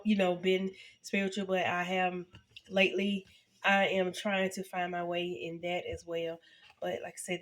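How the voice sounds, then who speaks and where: A woman in her fifties talks casually to her viewers, her voice nasal and congested from a cold. She speaks in a halting way, full of fillers and restarts. Her pitch sits in an ordinary middle range. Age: 50s. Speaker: vlogger. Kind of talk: casual reflective talk to camera.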